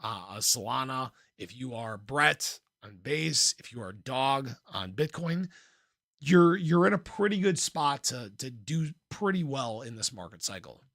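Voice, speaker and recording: Guy streamer chatting to viewers, kinda hoarse n creaky, dry sound, playful, clears throat a lot. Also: medium pitch, animated, a little slow, a bit bright, dry recording. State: slightly hoarse, losing his voice. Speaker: livestream host, crypto commentator. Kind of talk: casual livestream chat, reading and answering viewer comments.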